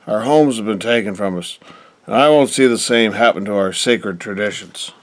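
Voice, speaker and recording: A man's voice: on the low side, moderate pace, dry recording, close to an everyday voice. A dry, closely recorded actor playing a stern patriarch in a radio drama. He speaks formally and with unbending authority, like the rich head of a family who leads survivors. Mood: stern, resolute. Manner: formal, commanding, unyielding. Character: stern, authoritative wealthy father leading survivors.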